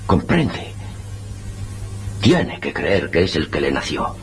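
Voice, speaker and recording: A man acts a solemn, solicitous doctor in an old radio melodrama. His voice is extremely low, with hushed urgency and careful hesitations. Faint music sits underneath. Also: wildly swooping pitch, takes it slowly, heavily acted. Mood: hesitant, earnest. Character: grave, solicitous male doctor with a secret plan. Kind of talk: melodramatic old Spanish radio serial acting.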